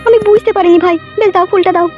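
A woman's voice: extremely high pitch, steady tone, racing. A woman voicing an emphatic, plain-spoken wronged young wife in a comic cartoon, on a dull recording. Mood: softening. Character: shrill wronged young married village woman, wary then yielding. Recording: dull lo-fi audio with music.

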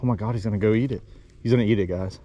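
A man's voice: fairly low pitch, rapid-fire, mellow, muffled recording, slight background noise. A man competing in a casual fishing video, talking in hyped slang with short repeated exclamations. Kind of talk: casual outdoor vlog chat.

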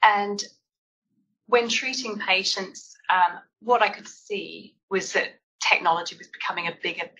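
An Australian woman in her fifties, a clinician and founder, presenting her company's story in a measured way. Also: medium pitch, bright, forceful tone, a little hiss or hum. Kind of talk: business presentation. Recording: dull lo-fi event audio.

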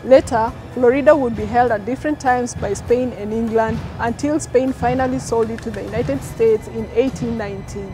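A young woman from Kenya reads out a history report in a steady, measured way. Her voice is a bit high. Music plays clearly beneath her. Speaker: student reporter. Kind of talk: scripted narration.

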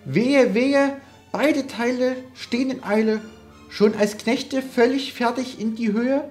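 A woman's voice: fairly high pitch, takes it slowly, darkish tone, faint music behind, voice pushed up. A woman voicing a young male sorcerer's apprentice, cocky and easily panicked, in a dramatic poetry recitation. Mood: desperate, panicked. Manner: theatrical, exclamatory.

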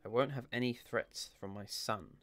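A man acts out a middle-aged father in an audio drama. He is stern but worried, with gravel in his mid-pitched voice. Music runs clearly behind him. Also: normal speed, a bit bright, close to an everyday voice. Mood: firm. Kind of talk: dramatic audio short story reading.

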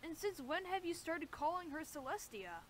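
A woman's voice: very high-pitched, big pitch swings, normal speed, slight background noise. A woman voicing a cheeky little male baby dragon in a cartoon, childlike and matter-of-fact. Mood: doubtful. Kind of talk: animated fan-series dialogue.

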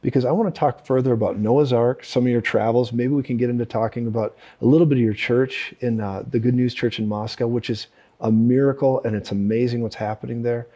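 Guy hosting a chat show, gushes thanks a lot, husky and mellow. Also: mid-range voice, fairly even intonation, a little fast. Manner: effusive, repeats praise and thanks. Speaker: host. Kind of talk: casual broadcast interview. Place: cozy studio set with armchairs.

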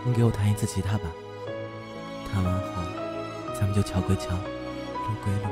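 An actor playing a playful yet wounded, proud young man in an expressive audio drama style. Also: on the low side, normal speed, loud music behind the voice, close to an everyday voice. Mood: bitter, hurt. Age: young adult. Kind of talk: Chinese audio drama acting.

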